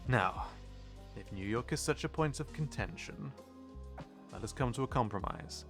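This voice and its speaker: A man's voice: mid-range voice, expressive, moderate pace, gravelly. Guy doing polite mafia twin in audio rp, formal n diplomatic, medium voice, bit of music under it. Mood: firm, diplomatic. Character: courteous, diplomatic mafia envoy, one of twin brothers. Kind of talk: audio roleplay acting.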